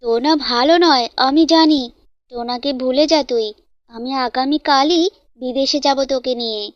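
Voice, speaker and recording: A woman's voice: high voice, a little slow, dry recording, muffled recording. A woman voicing a protective father bird in a cartoon, earnest and admonishing. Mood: stern. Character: stern but loving protective father bird.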